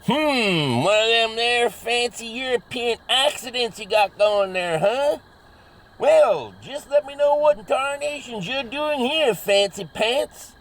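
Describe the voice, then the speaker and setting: Ornery hillbilly, wildly swooping voice. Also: male voice, extremely high pitch, expressive, slow, a bit bright, full character voice. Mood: suspicious, belligerent. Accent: rural Southern hillbilly drawl, put on. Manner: folksy bad grammar, exaggerated bluster. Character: ornery, suspicious, dim-witted backwoods hillbilly man with a shotgun. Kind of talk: comedy audio drama acting.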